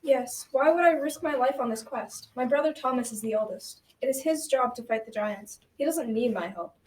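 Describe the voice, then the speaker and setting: A woman's voice: on the high side, moderate pace, a little mellow, natural voice. A young female student playing a wary, easily tempted knight in an amateur school radio drama, reading out the lines. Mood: self-justifying. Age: child or teen. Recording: echoey, distant mic, faint noise.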